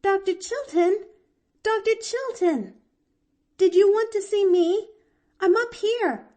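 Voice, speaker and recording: A woman voicing an eager, earnest, excitable young girl in an audiobook, with gushing, pleading delivery. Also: on the high side, slow pace, voice pushed up, full character voice. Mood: delighted. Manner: gushing, breathless exclamations and pleading. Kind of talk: audiobook character voice.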